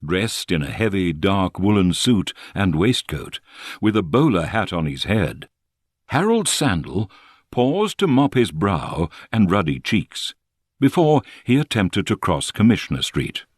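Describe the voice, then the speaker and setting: A man reading a literary story as an audiobook narrator, with measured, expressive storytelling. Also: low voice, no room sound, studio quality.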